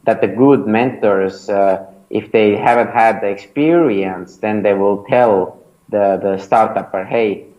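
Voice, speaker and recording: Estonian man, hesitant, lowish voice. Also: unhurried, highs cut off. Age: 30s. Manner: hesitant, many fillers and restarts. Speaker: startup founder and mentor, interview guest. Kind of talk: casual interview answers.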